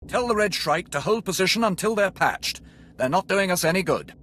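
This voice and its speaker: A man playing a brisk, dutiful imperial fleet commander in a video game, with a formal military manner. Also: high-pitched, lively intonation, fairly bright tone, a little hiss or hum. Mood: brisk, ordering. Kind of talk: video game cutscene acting.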